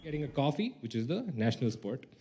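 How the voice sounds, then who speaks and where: A male stand-up comedian with an animated manner, mimicking small talk. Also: medium pitch, fast talker, slight background noise. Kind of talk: stand-up routine.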